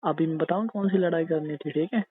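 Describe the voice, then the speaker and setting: A man's voice: fairly high pitch, a little fast, background noise, lightly acted. A man playing a teasing young husband in intimate casual banter, coaxing and insisting playfully. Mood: mock sulky. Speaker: husband in a couple's call. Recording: tinny narrow phone-call sound.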